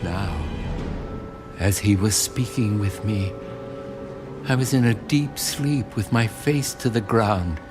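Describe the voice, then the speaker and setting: Unhurried man narrating scripture over music. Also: on the low side. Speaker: audiobook narrator. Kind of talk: solemn dramatised scripture narration.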